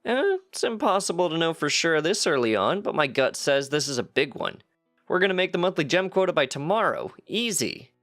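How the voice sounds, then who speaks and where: A man's voice: fairly high pitch, very animated, a little fast, a bit bright, faint music behind, pitched above the performer's own voice. A man voicing an eager minotaur mine worker, a loyal right-hand man with casual workman's talk, in a fantasy story. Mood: pleased, confident.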